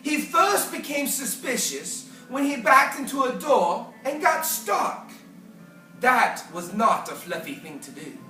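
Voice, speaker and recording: A theatrical storyteller reads a children's picture book with big swings in pitch and careful, unhurried phrasing. The voice is bright and clear in its delivery, heard in an echoey room a little away from the mic, with faint music behind. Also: recorded from a distance. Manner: animated, theatrical, deliberate phrasing. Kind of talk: expressive read-aloud of a children's picture book.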